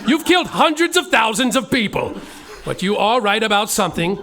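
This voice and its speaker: A man's voice: high voice, very animated, fairly quick, gravelly, sharp tone. A man narrating and playing a brash, profane detective in a live comedy musical podcast on stage. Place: theater stage before an audience.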